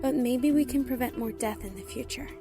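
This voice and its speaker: Casting: actress as idealistic young heroine, high-pitched, earnest with a playful nerdy streak, over clear music. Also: female voice, fairly quick, natural voice. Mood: earnest, resolute. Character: eager, idealistic, nerdy young woman, loyal to her cousin. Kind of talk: fan audio drama acting.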